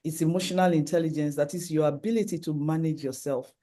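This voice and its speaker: Mellow-voiced middle-aged Nigerian conference speaker. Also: medium pitch, steady tone, fast talker. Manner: earnest, lecturing through lists of points, frequent restarts. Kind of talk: presentation with slides.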